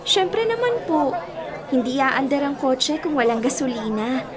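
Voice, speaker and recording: A high-voiced Filipino teenage girl in a radio drama. She is polite yet cheeky and sure of herself, with crowd chatter clearly heard behind her. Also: very animated, measured pace. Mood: confident, playful. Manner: polite but cheeky, confident. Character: ambitious, cheeky teenage daughter fresh from high school.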